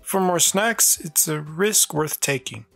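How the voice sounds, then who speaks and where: Bright, plucky little boy, acted out. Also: mid-range voice, slow, creaky, dry recording, faint music behind, exaggerated voice. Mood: playful. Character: plucky little boy, mischievous risk-taker. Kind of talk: audio drama acting.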